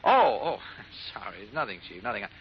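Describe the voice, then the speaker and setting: An actor playing a mild, flustered newspaper reporter in an old radio drama, hesitant and stammering. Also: male voice, on the low side, huge pitch swings, a little fast, crowd noise. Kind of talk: 1940s radio acting. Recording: muffled, hissy old radio recording.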